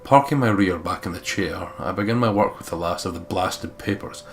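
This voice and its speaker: A man's voice: sits low, a little fast, slight background noise. A man hosting a let's play, reading visual novel narration aloud with some casual commentary.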